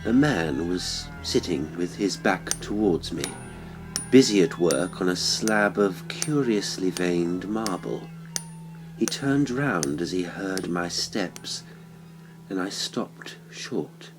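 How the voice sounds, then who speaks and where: A man reading a classic horror story as a scripted audiobook, in a measured, literary storytelling manner. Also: low voice, expressive, background noise. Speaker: audiobook narrator, horror podcast host.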